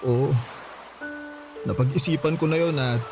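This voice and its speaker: A young actor playing a grieving, dutiful eldest son in a radio drama, sorrowful and earnest. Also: male voice, on the high side, measured pace, vocal fry, over music. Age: young adult. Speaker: boyfriend, college student in radio drama.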